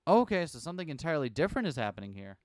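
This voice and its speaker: A man streaming a game from a hot room at home, reading the story aloud and chatting loosely, his voice turning a little raspy. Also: on the high side, big pitch swings, rapid-fire.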